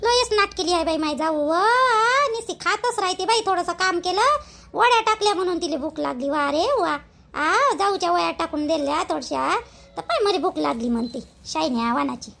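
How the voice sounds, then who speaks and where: A woman's voice: very high-pitched, normal speed, gravelly, fairly bright tone, full character voice. A woman voicing a gossipy, grumbling daughter-in-law in a village cartoon, speaking rustic Vidarbha Marathi. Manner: colloquial, rustic, complaining.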